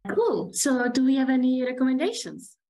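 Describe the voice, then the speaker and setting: Animated woman co-hosting a podcast. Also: sits high, moderate pace, slight background noise. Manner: emphatic, laughs often. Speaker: podcast co-host. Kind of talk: casual chat.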